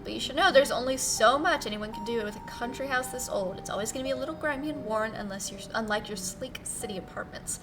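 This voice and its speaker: A woman's voice: a little high, quick pace, hardly any pauses, distant mic, over music, close to an everyday voice. A woman voicing an icy, haughty female cousin in a visual novel, curt, formal and disdainful. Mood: irritated, dismissive. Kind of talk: visual novel character read-aloud.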